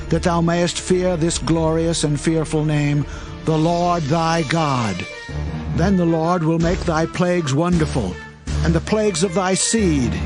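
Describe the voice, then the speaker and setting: A man's voice: a little high, natural voice. A man playing a solemn, declamatory prophet over music. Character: Moses, solemn male prophet and leader addressing his people. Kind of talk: dramatized scripture reading in King James English.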